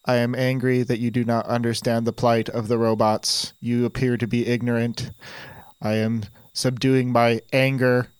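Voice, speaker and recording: A man putting on a pompous, resentful robot who talks in a dead-level monotone, every phrase stiff and formal. The voice is smooth and clean and sits close and dry, with no room around it. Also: mid-range voice, moderate pace, dry recording, close to an everyday voice, poor audio. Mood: subdued anger. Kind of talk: improvised comedy sketch.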